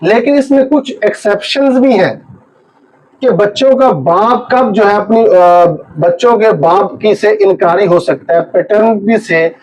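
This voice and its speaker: A man's voice: sits high, unhurried, a little mellow. A man with a Pakistani accent giving an informal legal lecture as an advocate, explaining and emphatically repeating his key points. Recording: dull, lo-fi muffled audio.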